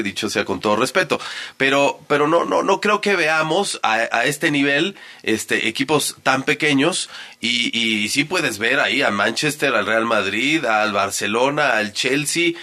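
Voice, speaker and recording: A man with a northern Mexican accent hosting a sports radio talk show, commenting conversationally with halting phrasing and repeated words. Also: mid-range voice, bright, forceful tone. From Monterrey, Mexico.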